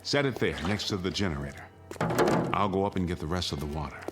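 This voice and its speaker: An actor playing a stern, resolute suburban father in a radio drama, speaking gravely and firmly. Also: low-pitched, fast talker, over loud music, close to an everyday voice. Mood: grave, subdued.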